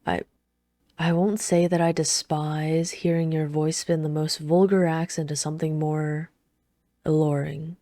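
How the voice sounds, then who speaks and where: A woman acts out a reserved knight in a fantasy ASMR roleplay. Her voice is deep and velvety, recorded close and dry, with formal, wry restraint. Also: flat delivery, a little slow, dark tone, no echo. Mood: reluctant. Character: reserved, dutiful female knight, dryly scolding royal lover.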